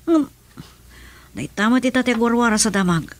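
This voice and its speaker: A woman with an Ilocano accent playing a brisk, businesslike aide giving reports in a radio drama. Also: on the high side, animated. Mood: concerned, informing.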